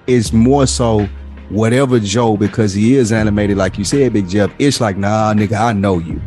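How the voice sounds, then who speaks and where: A man co-hosting a casual podcast debate in a blunt, slangy, animated way. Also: lowish voice, normal speed, soft background music. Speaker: podcast co-host.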